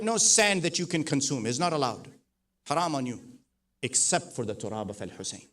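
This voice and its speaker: A middle-aged man giving a mourning sermon, telling a story in a voice that swells from quiet to loud with emphatic repetition. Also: a little high. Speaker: preacher. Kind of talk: mourning sermon with narrated tradition.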